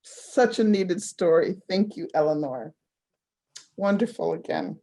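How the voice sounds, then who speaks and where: Slow-paced woman hosting, effusive. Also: fairly low pitch, expressive, warm tone. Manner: effusive, appreciative. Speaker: host of a storytelling showcase. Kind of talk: casual live event hosting.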